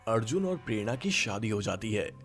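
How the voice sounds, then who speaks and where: A man's voice: lowish voice, big pitch swings, fairly quick, fairly bright tone, soft background music. A man reading scripted story narration as a storyteller.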